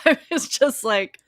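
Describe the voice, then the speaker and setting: A woman's voice: sits high, fairly bright tone, dry recording, clean studio sound. A woman co-hosting a talk show, chatting casually with frequent laughs and a slightly whiny voice. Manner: laughs often, talks in repeated starts. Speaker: podcast co-host.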